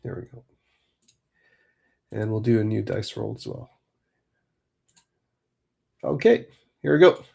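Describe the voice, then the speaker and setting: Man, slightly hoarse and nasal from a cold, livestream host, relaxed chatty talk, gravelly fry. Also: lowish voice, slow, long pauses. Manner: relaxed, chatty, many fillers. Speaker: card break host. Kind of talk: casual livestream chat.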